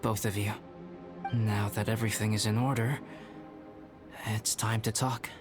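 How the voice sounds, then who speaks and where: Man voicing a formal, measured young alchemist. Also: lowish voice, flat delivery, lightly acted. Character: calm young male alchemist, composed scholar. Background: game music.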